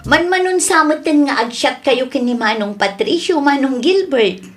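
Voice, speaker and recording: Radio drama role: nosy, chatty villager, slightly high female voice played by a woman. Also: expressive, normal speed, over music. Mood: confiding. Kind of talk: Ilocano radio drama acting.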